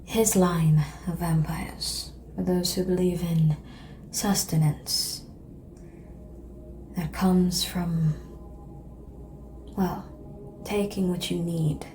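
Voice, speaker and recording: Over audible background ambience, a woman plays an ancient female vampire, seductive and composed. Her voice is lowish, soft and breathy, often sinking to a whisper. She speaks very slowly and formally, in an ASMR roleplay manner. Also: steady tone, rough recording. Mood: solemn, explanatory. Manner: slow, measured, formal phrasing with long pauses. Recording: clear background noise and ambience.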